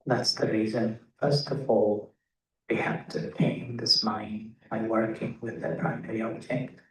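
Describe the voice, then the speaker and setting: A man teaching guided meditation in a measured way, pausing between short phrases. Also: on the low side, little pitch movement. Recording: roomy echo, distant microphone.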